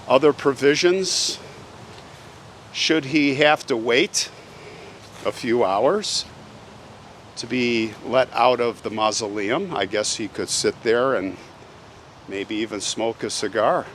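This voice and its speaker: Slow, suspenseful male storyteller outdoors. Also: mid-range voice, fairly bright tone, slight background noise. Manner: theatrical, suspenseful, repeats phrases for effect. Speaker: host of a cemetery history video channel. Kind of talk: dramatic on-location storytelling. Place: outdoors, walking in a cemetery.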